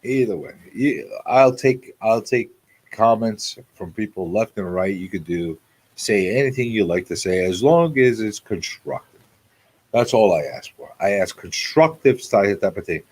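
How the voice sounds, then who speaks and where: A man's voice: fairly low pitch, expressive, unhurried, darkish tone, husky. A man in his forties hosting a casual live talk show, repeating phrases for emphasis as he talks off the cuff. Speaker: radio show host.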